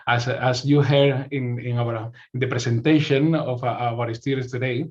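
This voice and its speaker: A man's voice: mid-range voice, fairly even intonation, normal speed, a little mellow, lo-fi sound. A man from Spain with a Spanish accent giving an informational presentation as a university representative, often repeating words as he searches for phrasing.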